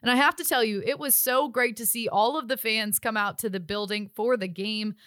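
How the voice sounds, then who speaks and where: Woman, sports host, upbeat and enthusiastic, higher pitch, dry close sound. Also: steady tone, brisk, fairly bright tone, no echo. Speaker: sports show host and interviewer. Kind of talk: hockey recap and interviewing.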